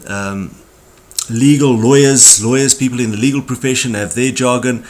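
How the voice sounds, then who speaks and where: A man's voice: medium pitch, steady tone, normal speed, darkish tone. South African man teaching a tutorial. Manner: explanatory, conversational with emphatic asides. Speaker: communication skills trainer. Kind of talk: tutorial lecture.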